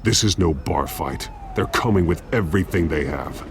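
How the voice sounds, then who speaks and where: An actor playing a grim, terse masked vigilante with a deep gravelly growl. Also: male voice, lively intonation, normal speed, slight background noise. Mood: grim, commanding. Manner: terse, stern. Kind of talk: dramatic game voice acting.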